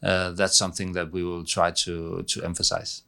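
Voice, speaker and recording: A middle-aged man with a Danish accent, a head coach answering press questions, halting with many fillers and restarts. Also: deep voice, completely flat delivery, gravelly, sharp tone, smooth. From Denmark.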